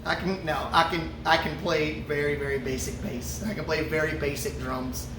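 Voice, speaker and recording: A man, a musician, answering fan questions in a relaxed, joking way with lots of fillers. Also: fairly high pitch, normal speed. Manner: laid-back, joking, many fillers. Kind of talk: casual Q&A chat. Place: brewery before a show. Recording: roomy echo, from a distance, ambient noise.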